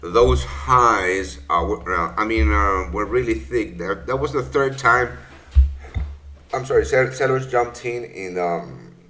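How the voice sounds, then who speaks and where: Bright-voiced man. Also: fairly low pitch, slow pace, faint music behind. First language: Spanish. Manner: rambling, many fillers and self-corrections. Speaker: trading session host. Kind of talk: casual live-stream market commentary.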